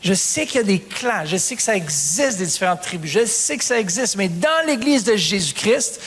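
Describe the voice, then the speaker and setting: A man's voice: high voice, lively intonation, normal speed, a bit bright, husky, in a large hall. A man, preaching a sermon as pastor, calling out to the congregation with rising emphasis. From Canada.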